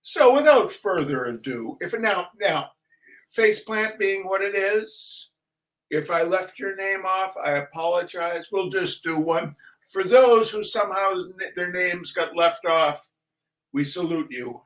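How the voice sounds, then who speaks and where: Male livestream host, higher-pitched voice, unhurried and rambling, theatrical with mock-grand flourishes. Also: animated, fairly bright tone, poor audio. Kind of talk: casual rambling livestream introduction with shout-outs.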